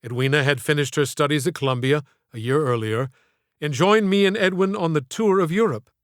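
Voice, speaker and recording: A man reading his own literary audiobook in a theatrical, measured storytelling manner. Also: a little high, no room sound, clean studio sound. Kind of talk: scripted literary narration.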